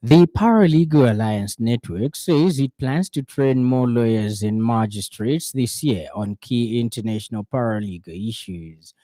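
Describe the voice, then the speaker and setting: A man with a Zambian accent reading a scripted radio news bulletin in a formal, measured way. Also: medium pitch, dark tone, no room sound.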